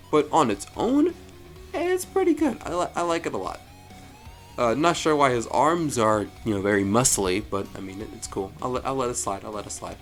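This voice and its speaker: A man giving a casual, jokey review commentary as the host, with animated delivery and frequent asides. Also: mid-range voice. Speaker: host of a fan story review show. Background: music.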